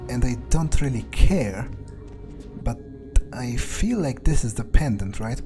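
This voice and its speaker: A man playing a video game in character, musing aloud in an understated, deadpan and slightly hesitant way. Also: mid-range voice, a little slow, music in the background. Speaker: gamer playing a vampire in character.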